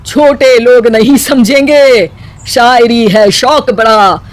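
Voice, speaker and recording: A man's voice: very high-pitched, slow pace, background noise. A man voicing a boastful elderly mother-in-law who declaims pompously in rhymes in a cartoon comedy story. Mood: boastful, defiant.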